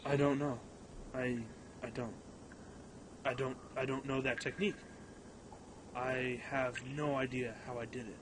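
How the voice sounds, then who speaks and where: A man's voice: mid-range voice, level tone, a little slow, long pauses, background noise, natural voice. A man acting an anxious young mech pilot in a fan radio drama. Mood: dazed, hesitant.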